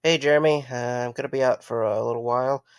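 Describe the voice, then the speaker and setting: A man's voice: medium pitch, fairly even intonation, fast, smooth, no room sound, studio quality, lightly acted. A man playing a sarcastic, easygoing young night guard in a conversational audio drama. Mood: casual, reflective. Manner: wry, conversational.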